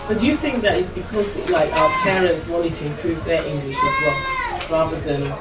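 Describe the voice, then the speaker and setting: A woman's voice: on the low side, wildly swooping pitch, normal speed. A woman asking probing questions as she leads a group discussion. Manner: inquisitive. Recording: echoey room, distant mic, loud music behind.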